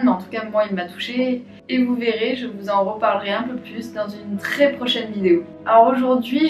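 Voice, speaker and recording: A woman who works as a clinical psychologist, telling a story gently and thoughtfully with many fillers as she hosts her own video. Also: mid-range voice, reverberant, far from the mic, low-quality recording. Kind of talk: storytelling to camera.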